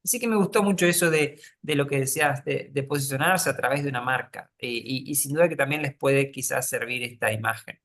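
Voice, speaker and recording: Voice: medium pitch, a little fast, few pauses, fairly bright tone. Uruguayan moderator, loose conversational talk. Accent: Uruguayan Spanish. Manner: conversational, many fillers and restarts. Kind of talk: webinar panel moderation.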